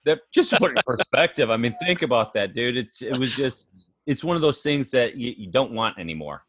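A man's voice: medium pitch, animated, fairly quick, fairly bright tone, no room sound. A man hosting a podcast, asking questions in a laid-back, casual way and laughing often. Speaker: podcast host. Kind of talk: casual interview chat.

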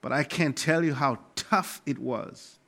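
Higher-pitched Malawian male preacher. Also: gravelly, a bit bright. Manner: emphatic, repeats key phrases deliberately. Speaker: pastor. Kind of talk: sermon.

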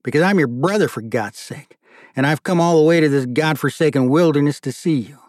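A man's voice: medium pitch, measured pace, no echo, studio quality, natural voice. A man voicing an agitated, impatient older brother with a thick Manhattan accent, whining and incredulous. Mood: exasperated. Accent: New York (Manhattan). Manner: incredulous, whiny, exasperated.

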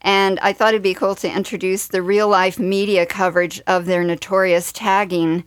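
A woman's voice: medium pitch, flat delivery, normal speed, no room sound. A woman hosting a radio interview and introducing clips. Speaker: radio show host and interviewer.

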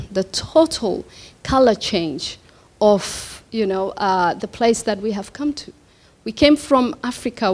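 A woman in her forties from Somalia, giving a keynote speech about her life to an audience in a hall, reflective and full of pauses. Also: fairly high pitch. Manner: slow, reflective, with many pauses and fillers. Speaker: keynote speaker. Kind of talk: personal story speech. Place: event hall before an audience.

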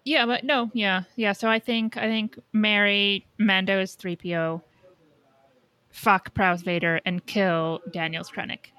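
A woman's voice: medium pitch, fairly even intonation, measured pace, bright, forceful tone, clean tone, no room sound. A woman co-hosting a podcast in casual chat, with a blunt, opinionated way of talking. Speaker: podcast co-host.

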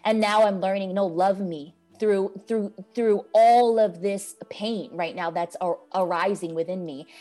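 A woman's voice: medium pitch, a little slow, few pauses, dark tone, faint music behind. A woman from New York talking in a casual podcast conversation as a guest, passionate and emphatic about self-growth. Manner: passionate, emphatic, spiritual self-help talk.

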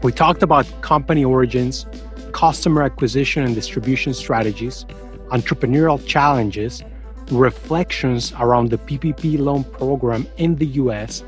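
A man's voice: medium pitch, moderate pace, soft background music. A man hosting a podcast, reading a formal introduction and then politely welcoming his guest. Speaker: podcast host. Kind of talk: scripted intro, then interviewing.

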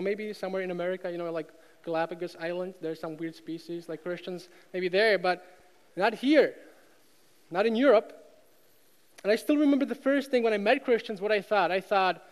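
Czech guy preaching a sermon, pretty high voice for a man, czech accent, repeats stuff for emphasis, big echoey hall. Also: moderate pace. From Czech Republic. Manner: emphatic repetition, rhetorical questions. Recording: long hall reverb.

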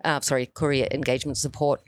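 A woman's voice: a bass voice, flat delivery, fast, no echo. A woman answering interview questions as a teacher, in an earnest and thoughtful way. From New Zealand.

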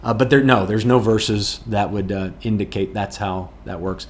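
A man's voice: lowish voice, normal speed. A man answering viewer questions as a pastor, talking through Bible verses in a plain, earnest way, with chuckles and restarts. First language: English, not Afrikaans. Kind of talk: casual Bible Q&A teaching.

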